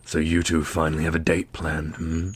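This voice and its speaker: A man playing a wry, teasing immortal brother in a fantasy audio roleplay, speaking deliberately. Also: a bass voice, monotone, a little hiss or hum. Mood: smug teasing.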